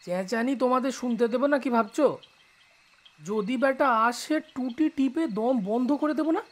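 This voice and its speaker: A man's voice: very high-pitched, normal speed. An actor playing a fearless, cocky teenage boy, brash and nonchalant, in an audio drama. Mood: cocky, teasing.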